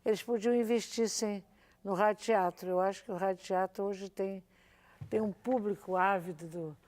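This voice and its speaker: A woman's voice: medium pitch, fairly even intonation, normal speed, a little mellow. An older woman, a radio drama veteran, reminiscing emphatically and seeking agreement. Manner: emphatic, repeats points, seeks agreement. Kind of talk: reminiscing in conversation.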